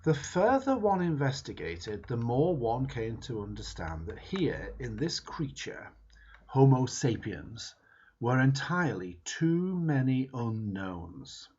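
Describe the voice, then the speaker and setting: A man reads a book foreword aloud with a husky, breathy voice. He takes his time and leans hard on each phrase, his pitch climbing and dropping dramatically. The recording sounds dull and lo-fi. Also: sing-song, darkish tone. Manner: slow, emphatic dramatic reading with wide swings in pitch. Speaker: reader.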